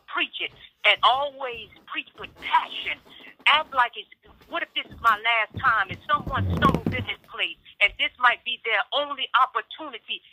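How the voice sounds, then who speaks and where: A man's voice: extremely high pitch, pushed and bright, dry recording. A guest preacher, a man, preaching fervently with rhetorical questions over a phone line.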